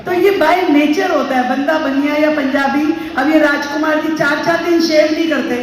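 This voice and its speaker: A woman's voice: high-pitched, steady tone, normal speed, a little hiss or hum. A woman giving a chatty, anecdotal speech at a ceremony, raising her voice for punchlines. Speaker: political speaker. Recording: echoey hall, mic from far off.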